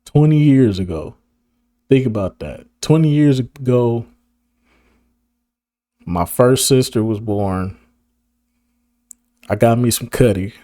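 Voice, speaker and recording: A hoarse man of about thirty, talking casually to camera in a rambling, slang-heavy way and laughing often. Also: mid-range voice, lively intonation, slow, pauses often, mellow, dry recording, clean studio sound. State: hoarse, losing his voice. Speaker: video creator. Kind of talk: casual reminiscing monologue to camera.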